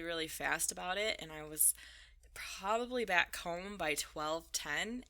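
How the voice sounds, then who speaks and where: A woman's voice: low-pitched, sharp tone. A woman gaming vlogger answering a list of questions in an informal, rambling, giggly way. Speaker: video game vlogger. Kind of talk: casual chat, answering a list of questions over gameplay.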